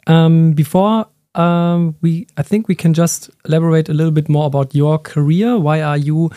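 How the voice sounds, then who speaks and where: Mellow-voiced podcast host. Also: male voice, a little high, fairly even intonation, normal speed, no echo, studio quality. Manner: chatty, hesitant, many fillers and restarts. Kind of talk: casual interview questions.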